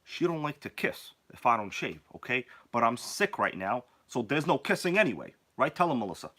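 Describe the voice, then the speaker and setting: A man's voice: medium pitch, very animated, brisk, pushed and bright. A man hosting a casual live stream while sick with a cold and nearly losing his voice, joking around and greeting viewers by name.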